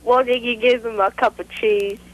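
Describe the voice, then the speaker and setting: A woman's voice: a little high, fairly even intonation, normal speed. A woman making a phone call, chatting casually with naive, childlike wording. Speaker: prank caller. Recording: telephone call.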